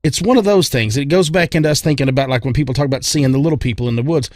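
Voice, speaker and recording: Male co-host, mid-pitched, casual and rambling, repeats for emphasis, tight dry sound. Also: very fast, a little mellow, dry recording. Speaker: podcast co-host. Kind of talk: casual chat, opinion.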